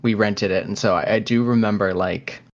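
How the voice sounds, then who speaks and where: A man's voice: on the low side, fairly even intonation, moderate pace, lo-fi sound. A young man co-hosting a podcast, chatting casually and agreeably in loose, informal phrasing. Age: young adult. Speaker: podcast co-host. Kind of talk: casual chat.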